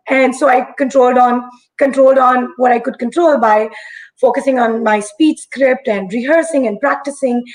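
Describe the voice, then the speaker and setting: A young woman from India with a husky voice that still sits fairly high. She tells her story as an interview guest, earnest and reflective. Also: low-quality recording. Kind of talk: live-streamed conversation.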